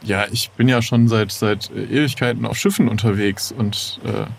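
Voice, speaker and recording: Creaky-voiced hesitant man role-playing. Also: lowish voice, steady tone, moderate pace. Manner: hesitant, polite. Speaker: player in an improvised adventure game. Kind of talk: casual improvised role-play.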